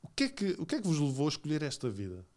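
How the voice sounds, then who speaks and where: A man's voice: on the high side, wildly swooping pitch, rapid-fire, darkish tone. A man in his forties with a Lisbon-area Portuguese accent, hosting a casual, jokey interview with informal interjections. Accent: European Portuguese, Lisbon area. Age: 40s. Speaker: podcast host, interviewer.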